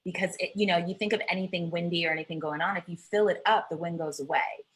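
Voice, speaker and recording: A woman's voice: lowish voice, fairly even intonation, fast talker, low-quality recording. A woman hosting a live online interview over a video call, reflectively summing up her guest's points. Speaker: host.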